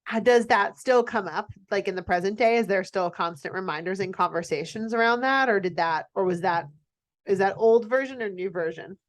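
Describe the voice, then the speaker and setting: A woman with a medium-pitched voice hosts a casual conversation. She is blunt and wry, whether she is putting questions or giving her own take. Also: brisk. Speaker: podcast host asking questions. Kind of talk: casual chat.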